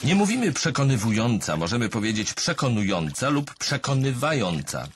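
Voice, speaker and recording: A man's voice: mid-range voice, very animated, moderate pace, heavy background noise. A man reading scripted humorous narration in a didactic, wry manner, with music underneath.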